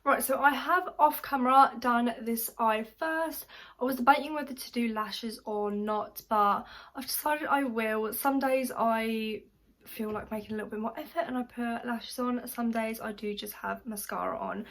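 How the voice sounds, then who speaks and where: A woman's voice: a little high, moderate pace. A young woman from South Africa who grew up speaking Afrikaans, chatting casually while she does her makeup for a vlog. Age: young adult. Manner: chatty, relaxed, says "literally" and "just" a lot. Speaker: makeup vlogger. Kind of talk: casual makeup tutorial chat.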